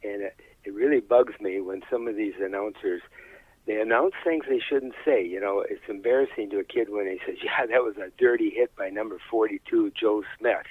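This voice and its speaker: A man's voice: lowish voice, normal speed, husky, no room sound. An older man talking casually in an interview, in a plain-spoken, folksy, hesitant way, on slightly dull audio. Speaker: high school sports announcer being interviewed.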